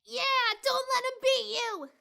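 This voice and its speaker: A woman's voice: very high-pitched, fairly even intonation, slow pace, gravelly, bright, forceful tone, exaggerated voice. A woman voicing a bold, competitive little filly, eager and pushy, urging emphatically in a cartoon storybook reading. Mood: excited urging.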